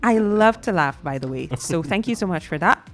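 A woman's voice: fairly low pitch, animated, moderate pace, loud music behind the voice. A woman with a Guyanese accent, co-hosting a live morning talk show, laughing easily and greeting viewers. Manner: bubbly, laughs often, reads viewer comments. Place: TV studio.

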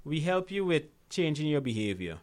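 A man's voice: fairly high pitch, big pitch swings, normal speed, darkish tone. Emphatic Guyanese man coaching conversationally. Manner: emphatic, repeats key phrases, checks in with "right?". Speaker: financial literacy coach, interview guest. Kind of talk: conversational motivational explaining.

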